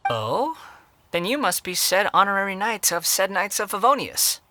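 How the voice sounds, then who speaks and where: A man's voice: high voice, big pitch swings, normal speed, background noise. A man voicing a polite, easygoing young wandering vagrant with refined, courteous phrasing in a video game. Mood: genteel, amused. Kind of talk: video game cutscene acting.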